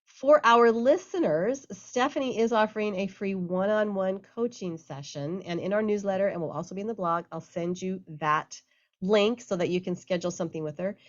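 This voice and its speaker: A woman's voice: mid-range voice, normal speed, highs cut off. A woman co-hosting a radio talk show, chatting enthusiastically and introducing a guest. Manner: enthusiastic, upbeat. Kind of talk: casual chat and guest introduction.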